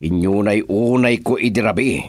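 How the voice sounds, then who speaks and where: An actor playing a scheming businessman boss in an Ilocano radio drama, with a deliberate, theatrical delivery. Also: lowish voice, very noisy, natural voice. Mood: confident.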